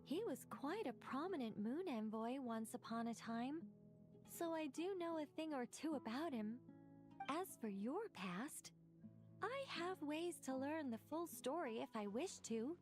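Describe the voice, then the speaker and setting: Game angel: wise female guide, lightly high voice, formal and measured, music underneath. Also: animated. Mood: composed, persuasive. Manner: articulate, formal, measured, philosophical. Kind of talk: scripted video game voice acting.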